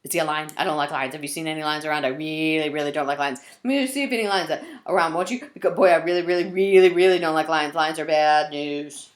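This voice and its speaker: A woman's voice: deep voice, fast talker, few pauses, close to an everyday voice. A woman voicing a fretful talking zebra in a storybook, anxious, emphatic and repeating words. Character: nervous talking zebra, fretful about lions.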